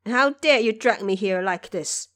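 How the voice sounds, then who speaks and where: A woman's voice: medium pitch, unhurried, fairly bright tone, lightly acted. Woman doing a proud village wife in an audio drama, indignant and confused, scolding everyone emphatically. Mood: indignant, bewildered.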